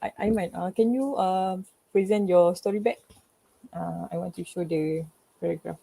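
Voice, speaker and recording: A woman with a Malaysian accent giving informal feedback to a class, haltingly and often searching for words. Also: on the low side, creaky, mellow, husky, low-quality recording.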